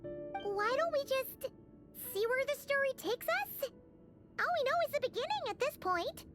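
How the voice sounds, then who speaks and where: A woman voicing a small, excitable female sprite companion in a game, bubbly and chatty. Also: extremely high pitch, a little slow. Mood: excited. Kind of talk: game cutscene voice acting. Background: game music.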